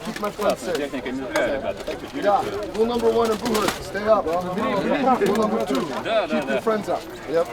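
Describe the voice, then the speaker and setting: A man's voice: sits high, lively intonation, measured pace, a loud crowd. A man coaching a hands-on fighting seminar outdoors, often adding "right?" after short points. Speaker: combat coach. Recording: distant mic outdoors.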